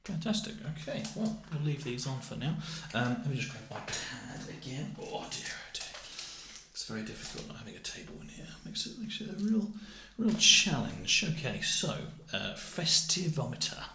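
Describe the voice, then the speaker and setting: British man, ASMR roleplay, gentle awkward delivery, soft near-whisper, medium pitch, echoey room. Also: big pitch swings, normal speed, vocal fry, noisy background. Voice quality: soft-spoken, often dropping into near whisper. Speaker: ASMR roleplayer playing a festive assessment clerk. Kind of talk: ASMR roleplay, improvised personal-attention chat.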